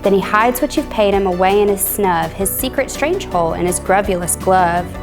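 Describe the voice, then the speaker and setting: A woman presenter reading a children's storybook aloud in an expressive style. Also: medium pitch, normal speed, over music.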